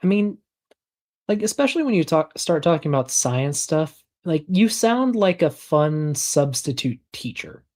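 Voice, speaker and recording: A co-host chatting casually on a stream, giving relaxed, wry short replies. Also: mid-range voice, a little slow. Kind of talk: casual chat.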